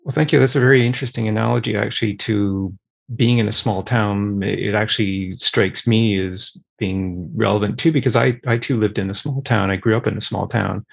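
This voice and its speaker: A man moderating a group discussion, asking questions in a measured, thoughtful way. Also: on the low side, fairly even intonation, creaky, husky.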